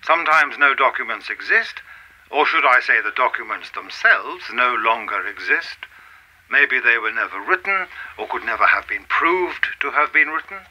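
Man acting sharp, mysterious official, coolly menacing. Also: mid-range voice, animated, breathy edge, heavily acted, low-quality recording. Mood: coolly insinuating. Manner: formal, measured, insinuating. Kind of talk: radio play acting.

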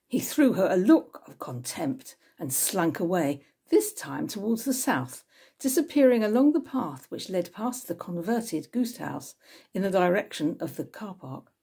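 Female narrator, 60s, low-pitched, slightly husky, expressive storybook reading. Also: unhurried. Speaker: author reading from own novel. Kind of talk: scripted narration, audiobook-style reading. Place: small room at home with bookshelves, indoors.

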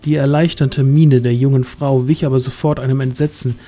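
A man's voice: mid-range voice, flat delivery, fairly quick, warm tone. A man reading scripted narration as the storyteller of a fantasy audio drama. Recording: music underneath.

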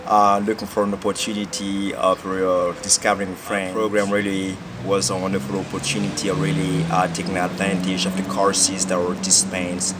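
A man's voice: sits low, monotone, moderate pace, hardly any pauses. Hesitant young man in noisy courtyard. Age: 20s. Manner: hesitant, many fillers. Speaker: exchange student. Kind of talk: casual interview answers. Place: outdoor courtyard by glass building.

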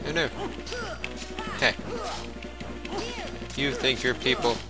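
A man's voice: mid-range voice, steady tone, slow pace, loud music behind the voice. A man playing a video game with goofy, exclamatory commentary. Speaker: let's play gamer. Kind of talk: gameplay commentary with read-aloud dialogue.

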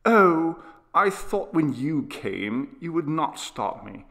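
A man plays a sick young boy in a storybook, pitched up from an adult register. He is eager, urgent and insistent, with slow, swooping delivery as he pleads to be believed. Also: big pitch swings, creaky, a bit bright, exaggerated voice. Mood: indignant, pleading. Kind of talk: dramatised audiobook character reading.

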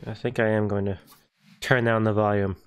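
A man's voice: on the low side, level tone, normal speed, background noise. A man giving casual, joking, sweary gameplay commentary. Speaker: gamer doing a walkthrough. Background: video game music and combat sounds.

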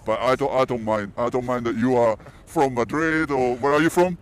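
A man's voice: medium pitch, fairly even intonation, moderate pace. A man from Barcelona, a native Spanish speaker, doing casual street interviews in a matey way outdoors with a handheld mic. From Barcelona, Spain. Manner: informal, matey. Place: outdoors on a city bridge. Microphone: handheld interview microphone.

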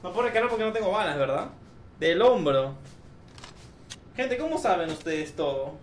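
A young man livestreaming a video game, chatting casually with his viewers and breaking into sudden loud outbursts. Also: high-pitched, animated, normal speed. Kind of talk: casual gameplay livestream chat. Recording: noisy echoey room, from far off.

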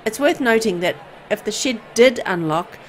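A woman reading visual novel text aloud as expressive, storytelling narration. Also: a little high, moderate pace, slight background noise. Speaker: video game player and narrator.

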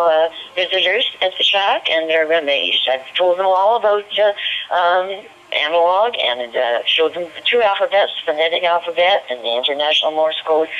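Ham radio guy, bright voice, polite w/ little pauses, slightly noisy digital radio. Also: fairly high pitch, lo-fi sound. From Canada. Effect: digital two-way radio. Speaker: amateur radio operator. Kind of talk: radio call exchange, demonstrating to visitors.

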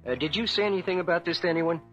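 A man's voice: on the high side, very fast, a bit bright, close to an everyday voice. A man playing a nervous, evasive husband in a radio drama, stammering and falsely friendly. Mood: uneasy. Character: shifty, nervous husband hiding something, evasive adult man. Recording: radio drama with music underneath.

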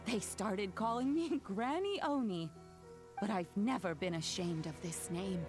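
An actress voicing a kindly, proud old granny in a video game, with a gentle, measured, reassuring manner. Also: female voice, mid-range voice, lightly acted. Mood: proud, tender. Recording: game music behind.

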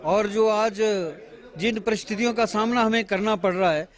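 A man in his fifties, a party official, giving a halting press statement to reporters with many pauses and restarts, speaking into a bundle of handheld mics outdoors at a bus station. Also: high voice. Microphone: bundle of handheld news mics.

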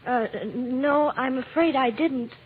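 A woman's voice: sits high, measured pace, breathy edge, narrow, tinny audio. An actress playing an earnest, anxious young farm girl in a vintage radio drama. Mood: anxious, wondering. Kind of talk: 1930s-50s radio acting. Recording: thin vintage radio broadcast with music.

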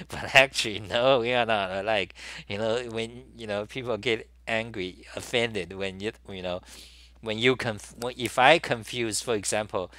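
A man's voice: lowish voice, a bit bright, no room sound. A middle-aged man, talking alone to camera in a meandering monologue full of "you know" and restarts. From Taiwan. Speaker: talk show host. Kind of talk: rambling solo monologue. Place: small room at a desk.

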